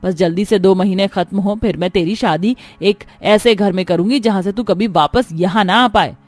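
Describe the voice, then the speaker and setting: Woman playing a loud, scolding cruel stepmother. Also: mid-range voice, normal speed, dry recording, close to an everyday voice. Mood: scolding, contemptuous. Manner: sharp, sarcastic nagging. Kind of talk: cartoon story voice acting.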